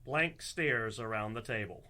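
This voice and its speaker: A man as a wry storyteller narrating a tavern fantasy comedy. His voice is mid-pitched, with clear noise behind it. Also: unhurried, bright, forceful tone. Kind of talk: scripted narration of a comedy radio play. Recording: clearly noisy background.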